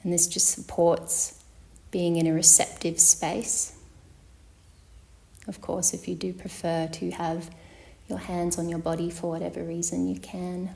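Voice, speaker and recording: A woman with a slightly low voice guides a yoga nidra practice. Her delivery stays flat and steady, giving calm, measured instructions. She leaves long pauses between phrases, as a meditation teacher does. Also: creaky.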